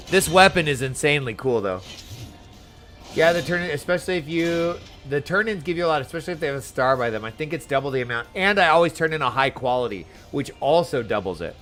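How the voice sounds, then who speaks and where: Guy streaming a game, slightly high voice, chatting casually, music behind him. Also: very animated, fairly quick, a bit bright. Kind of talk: casual live gameplay commentary.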